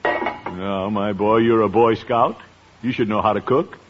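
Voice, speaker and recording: A man playing a blustery, bumbling middle-aged uncle with a drawn-out, chuckling delivery in an old radio comedy. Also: fairly low pitch. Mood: bluffing, bustling. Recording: muffled, noisy vintage radio recording.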